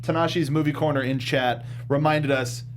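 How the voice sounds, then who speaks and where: A man's voice: fairly high pitch, fairly even intonation, normal speed. A man hosting a movie review show, talking casually in a panel discussion over faint background noise.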